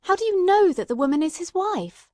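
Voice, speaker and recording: Casting: woman doing a detective's earnest male sidekick in an audiobook drama, incredulous and urgent, raised pitch, dry sound. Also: sing-song, a little fast, a little mellow, dry recording. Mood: urgent, questioning. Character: earnest, astonished male companion questioning a detective. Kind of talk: audiobook-style dramatised reading.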